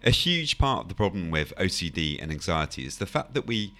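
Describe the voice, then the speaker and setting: A man hosting his own podcast, talking calmly through ideas with a hoarse, croaky voice and frequent small restarts. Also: sits low, fairly quick, faint music behind. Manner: calm, explanatory, with frequent "you know" and small restarts. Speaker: podcast host and anxiety coach. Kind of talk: solo podcast talk.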